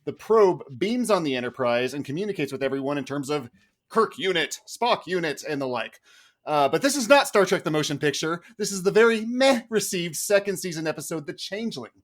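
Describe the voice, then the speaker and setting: A man's voice: on the high side, expressive, brisk. A man arguing a humorous film critique as a mock prosecutor, in a mock-formal, exasperated way. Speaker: podcast panelist playing a mock prosecutor.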